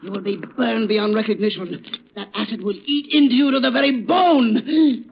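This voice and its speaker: An actor playing an insane, gloating scientist poisoner in old radio style, maniacal and taunting, swinging from hushed menace to shrill cries. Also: male voice, fairly even intonation, moderate pace. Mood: frenzied triumph. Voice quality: high, cackling voice. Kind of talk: 1930s radio acting. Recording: dull, noisy old radio recording.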